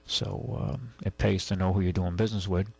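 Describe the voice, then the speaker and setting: A man hosting a call-in radio show, giving casual advice in a halting, rambling way. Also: deep voice, level tone, gravelly, darkish tone, husky, soft background music. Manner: halting, rambling, conversational explanations. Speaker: radio call-in show host, car dealer.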